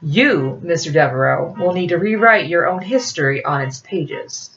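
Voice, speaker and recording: A woman's voice: lowish voice, animated, moderate pace, fairly bright tone, lo-fi sound, slight background noise. A woman voicing a prim, secretive female ally in a novel reading, with a firm, formal manner.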